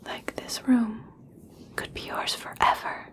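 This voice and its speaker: Slow whispering elf princess, played by a woman. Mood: coaxing, veiled. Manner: soft, slow, soothing, formal. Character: regal elf princess, gracious, coaxing, subtly conditional kindness. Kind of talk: whispered ASMR personal attention roleplay.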